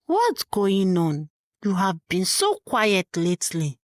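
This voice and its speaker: Tender storybook mother, voiced by a woman; gentle and soothing, unhurried. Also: mid-range voice, huge pitch swings, no echo, clean studio sound. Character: loving mother, gentle and caring.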